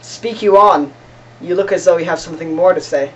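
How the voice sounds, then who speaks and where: A woman's voice: fairly low pitch, brisk, highs cut off, close to an everyday voice. A woman playing a proud, defiant young male noble in amateur Shakespeare, formal and declamatory, recorded from a distance with noise. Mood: resigned. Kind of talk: amateur Shakespearean stage acting.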